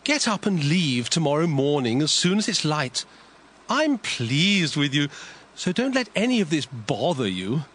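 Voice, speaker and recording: A man's voice: a little high, sing-song, unhurried, a bit bright, husky, heavily acted. A man playing an earnest, loyal ancient king in a Bible drama, speaking emphatically. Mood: earnest, reassuring. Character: earnest, loyal ancient Philistine king defending his soldier. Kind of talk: dramatized Bible audio acting.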